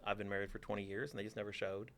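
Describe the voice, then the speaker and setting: A man's voice: on the low side, little pitch movement, very fast, fairly bright tone. A man in his forties from Texas, a theater teacher answering interview questions with dry, teasing humor.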